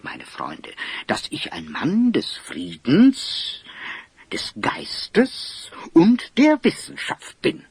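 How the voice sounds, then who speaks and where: An actor playing an eccentric, kindly old scholar and inventor in an audio drama, theatrical and pompous, with hems and chuckles. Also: male voice, on the high side, creaky, breathy edge, no echo, full character voice. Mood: earnest, solemn. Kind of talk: German audio drama acting.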